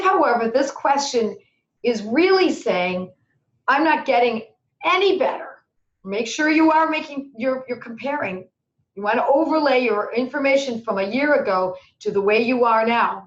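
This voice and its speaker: A middle-aged woman with a somewhat high voice answers webinar questions in a chatty, animated way, a slight hoarseness creeping in. The sound is roomy and dull, like lo-fi webinar audio. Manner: chatty, animated, acts out quoted worries. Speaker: homeopathy instructor answering questions.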